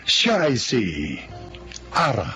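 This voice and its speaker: A man's voice: mid-range voice, wildly swooping pitch, very slow. A man announcing a radio drama in a formal, theatrical, scripted narration style. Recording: voice over loud music.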